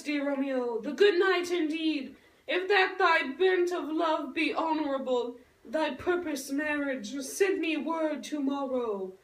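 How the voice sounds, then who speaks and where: A woman playing a lovestruck, earnest young noble girl in a puppet show, speaking Shakespearean verse in a theatrical, drawn-out way. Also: high voice. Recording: roomy echo, distant microphone.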